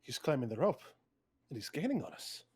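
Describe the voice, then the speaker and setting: Casting: man as composed fairy-tale duelist, proud and matter-of-fact, mid-range voice with a husky grain. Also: mid-range voice, big pitch swings, a little fast, a little mellow, no room sound, studio quality. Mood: alert. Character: proud, calm male swordsman henchman, eager to duel. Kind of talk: live table read of a film script.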